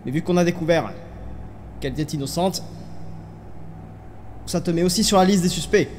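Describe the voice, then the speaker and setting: A man voicing a stern, suspicious astronaut captain who commands and interrogates in an animated fan dub. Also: fairly high pitch, normal speed, slight background noise, natural voice.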